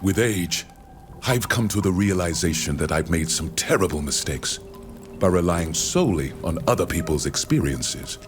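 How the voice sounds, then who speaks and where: A low male voice plays an older, learned naturalist in a fantasy game. He is measured and courteous, reflective in temper. Music plays clearly underneath. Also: expressive. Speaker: scholar from the continent. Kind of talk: scripted video game dialogue. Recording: music behind the voice.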